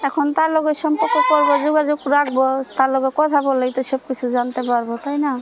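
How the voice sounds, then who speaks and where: A young woman of about eighteen with a colloquial Bangladeshi accent, giving plain, informal answers in a soft, weak-sounding voice. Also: sits high, fairly even intonation, fast, heavy background noise. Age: young adult, about 18. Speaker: interviewee.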